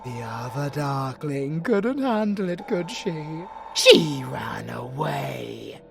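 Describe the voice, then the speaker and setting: Monster villain, British, audio roleplay: sadistic, menacing, drawn-out gravelly delivery, theatrical taunts, faint music underneath. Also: male voice, mid-range voice, big pitch swings, exaggerated voice. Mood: mocking. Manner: theatrical and taunting, swinging from slow hushed purrs to shouts. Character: sadistic male monster villain, gloating, menacing, hungry for prey.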